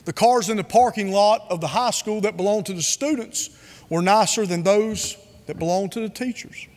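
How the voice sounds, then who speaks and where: Guy giving a heartfelt speech, kinda high voice, lots of emphasis, big echoey hall. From North Carolina. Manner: earnest, measured, emphatic repetition. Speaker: speaker. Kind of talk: prepared speech.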